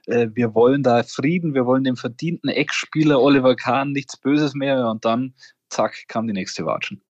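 A man co-hosting a casual sports podcast, chatty and gently mocking, with many fillers. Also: medium pitch, moderate pace, no echo, lo-fi sound. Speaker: podcast co-host, reporter.

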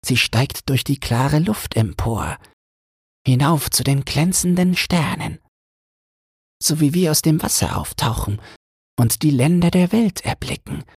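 A man voicing a wise, resigned old mermaid grandmother in a thin, breathy falsetto, slow and instructive, for a fairy-tale audiobook. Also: no echo, studio quality, exaggerated voice. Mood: solemn, matter-of-fact. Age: older.

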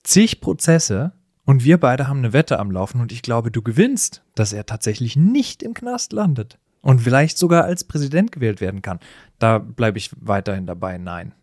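A man's voice: medium pitch, sing-song, normal speed, a little mellow, no room sound, studio quality. A man in a conversational podcast discussion, explaining things with emphatic phrasing. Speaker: podcast co-host.

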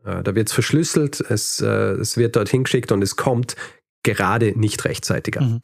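A man's voice: on the low side, normal speed, vocal fry, no echo. A man hosting a podcast, telling history in a conversational, explanatory way with light dry humour. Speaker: podcast host.

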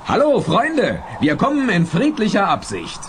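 Wildly animated male space adventurer. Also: high voice, measured pace, exaggerated voice. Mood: bold, defiant. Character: bold male space adventurer hero, friendly yet defiant. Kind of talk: 1970s-80s German children's audio drama acting. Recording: noisy old audio drama recording.

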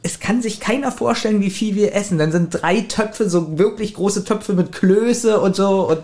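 A man's voice: high voice, moderate pace, darkish tone. A man chatting casually as a podcast host, telling things in a rambling, repetitive way. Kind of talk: casual chat.

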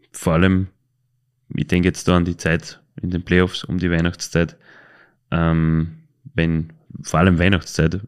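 Austrian guy w a deep voice doing casual podcast talk, kinda hesitant, keeps correcting himself. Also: gravelly, darkish tone. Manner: hesitant, self-correcting, colloquial. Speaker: podcast host. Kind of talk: casual podcast chat, telling anecdotes.

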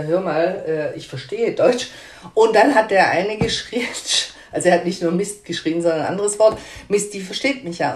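A woman's voice: medium pitch, darkish tone, roomy sound. A woman publisher answering interview questions in a hesitant, conversational way with frequent fillers and restarts.

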